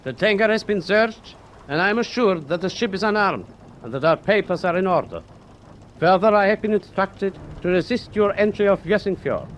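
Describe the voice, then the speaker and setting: An actor playing a stiff, formal Norwegian naval officer in a radio drama, speaking with official insistence. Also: male voice, high voice, moderate pace, slight background noise, natural voice. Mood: stiff, insistent.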